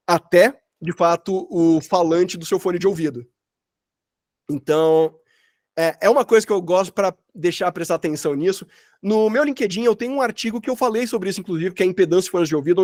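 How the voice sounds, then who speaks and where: A man's voice: high voice, a little mellow, no room sound, clean studio sound. A Brazilian man teaching in an informal, colloquial way, thinking aloud and often rephrasing himself as he explains. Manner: rambling, colloquial, thinks aloud with frequent self-corrections. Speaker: workshop instructor, sound designer. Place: online workshop from own workspace.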